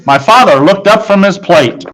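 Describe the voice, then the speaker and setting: A man's voice: sits high, animated, normal speed, a bit bright, low-quality recording. A man narrating a children's book in the first person, reading from the text as a storyteller.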